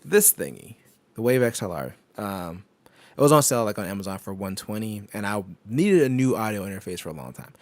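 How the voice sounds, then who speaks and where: A man with a low voice talks casually at his home desk during a live stream. He rambles and laughs often, filling gaps with fillers and restarts. His voice is close and dry, spoken into a microphone on an arm. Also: sing-song, brisk, no echo. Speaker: tech streamer. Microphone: microphone on an arm through an audio interface.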